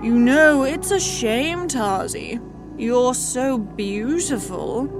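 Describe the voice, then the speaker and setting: A woman voicing a treacherous young man who talks with cold, mocking calm in a dramatized story. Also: on the high side, slow, over music. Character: treacherous young human man, smooth-talking.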